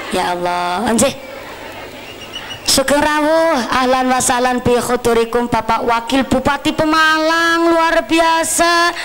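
Slow-paced woman preacher with Javanese accent. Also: on the high side, noisy background. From Central Java, Indonesia. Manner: teasing, folksy. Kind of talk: lively sermon mixing teaching with humour. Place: live religious gathering.

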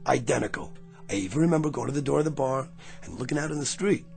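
An actor playing a brash, fast-talking man who claims to be going back in time, with a pushy, street-smart manner in a radio drama. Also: medium pitch, creaky, a little mellow, close to an everyday voice. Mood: insistent. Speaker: lead character. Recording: radio play with music.

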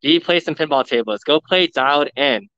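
A man livestreaming a walkthrough of a pinball showroom, excitedly talking to his viewers, recorded on a phone indoors. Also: fairly high pitch, normal speed, clear, resonant tone, dry recording, muffled recording. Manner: excited, enthusiastic, addressing viewers. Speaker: vlogger, live streamer. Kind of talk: live walkthrough commentary. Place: large indoor arcade showroom. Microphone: phone camera.

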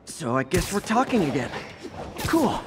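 A man's voice: fairly high pitch, sing-song, measured pace, noisy background. An actor playing a wisecracking young male superhero in a game, joking and teasing as he talks. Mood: casual. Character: wisecracking young male web-slinging hero.

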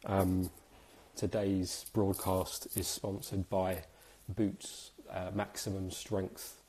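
A man in his thirties with a very low voice, a bit hoarse from a cold, hosting a live stream from a small room. He talks chattily and keeps spirits up, with plenty of ums along the way. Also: level tone, slow, a little mellow, low-quality recording. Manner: chatty, upbeat, many fillers. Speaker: host.

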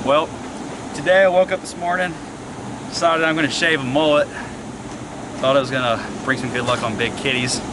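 A young man in his twenties filming a fishing vlog outdoors at night, talking excitedly and out of breath in folksy slang. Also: fairly high pitch. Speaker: fishing vlogger. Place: riverbank by a dam at night, outdoors. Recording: outdoor recording with loud water noise. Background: rushing water from the dam.

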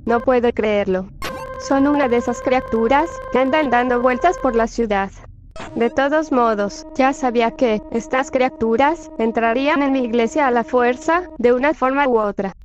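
A woman's voice: a little high, moderate pace, lightly acted. A synthetic text-to-speech woman's voice playing a weary, protective church nun in a fan-dubbed cartoon game. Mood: weary, worried. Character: weary, protective church nun fighting evil spirits, kind to children. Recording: muffled lo-fi audio over music. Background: game music.

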